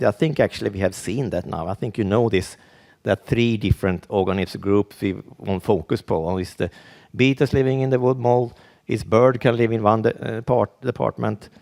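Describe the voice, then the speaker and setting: A man with a Swedish accent gives a conference talk in English, his second language. His low voice is gravelly, and he stops and restarts his words often. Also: darkish tone. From Sweden. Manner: halting, with frequent restarts and fillers. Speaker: conference speaker. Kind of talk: conference presentation.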